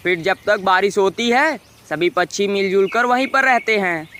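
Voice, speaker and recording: A man narrating a scripted animated children's moral story. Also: high-pitched, moderate pace.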